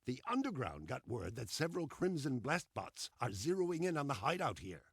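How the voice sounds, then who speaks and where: An actor playing a bossy, pompous old man who leads an underground resistance in a video game, with a raspy voice and a grumbling, commanding manner. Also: medium pitch, wildly swooping pitch, moderate pace, dry recording, studio quality, full character voice. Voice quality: raspy, creaky. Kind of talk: animated video game cutscene acting.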